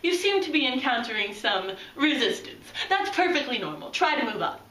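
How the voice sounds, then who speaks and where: A woman's voice: high-pitched, very animated, a little fast, pushed and bright, slight background noise, heavily acted. A woman playing a pompous, self-absorbed male psychoanalyst in an amateur comedy skit, clinical and easily flustered. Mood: smug, clinical. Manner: clinical, self-important, flustered stammering when challenged. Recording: roomy echo, distant microphone.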